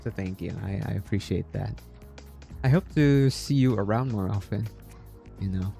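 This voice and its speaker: A man from the Philippines chatting casually on a livestream, halting and repeating his words, polite and appreciative. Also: fairly low pitch, creaky, warm tone, soft background music. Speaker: game streamer. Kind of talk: casual livestream chat with viewers.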